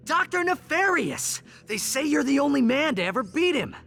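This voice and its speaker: An actor playing a brash, boyish, sarcastic young hero in a video game, with a wry, casual manner. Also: male voice, very high-pitched, sing-song, moderate pace, full character voice. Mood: determined. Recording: loud background noise.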